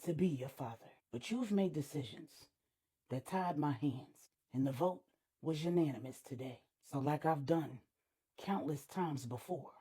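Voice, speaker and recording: Woman as dangerous middle-aged father, low, slow, measured, regretful, husky, audiobook drama. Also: dark tone, heavily acted. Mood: solemn regret.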